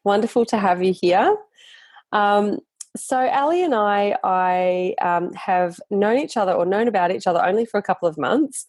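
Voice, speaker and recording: A woman's voice: medium pitch, expressive, moderate pace, a little mellow, no echo. A woman hosting a podcast, chatting enthusiastically with lots of ums and restarts. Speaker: podcast host. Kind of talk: casual interview chat.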